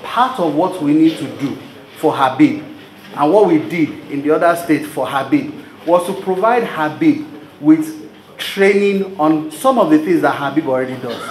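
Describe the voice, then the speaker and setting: A man with a Nigerian accent giving an informal public presentation, conversational, telling stories and putting rhetorical questions to his audience. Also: on the high side. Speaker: presenter of a business training program. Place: live event room before an audience. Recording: roomy venue sound with background noise.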